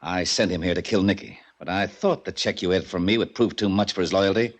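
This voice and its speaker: An actor playing a cold-blooded syndicate boss in a 1940s radio drama, mock-courteous and menacing. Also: male voice, sits low, a little fast. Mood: cold, smug. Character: smooth-talking, cold-blooded male gambling syndicate boss.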